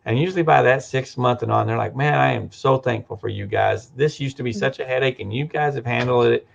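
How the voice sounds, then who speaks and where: A man's voice: mid-range voice, a little fast, creaky. A man who is a guest in an interview, explaining his business in a loose, conversational way with frequent restarts. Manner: conversational, many restarts and "you know". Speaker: practice management business guest. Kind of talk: casual interview. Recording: dull lo-fi audio.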